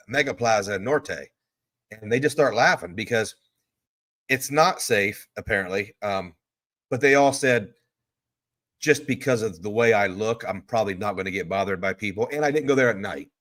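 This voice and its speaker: A man's voice: on the low side, moderate pace, a bit bright. A man, hosting a live stream from a hotel room. He rambles casually and answers viewer questions one after another.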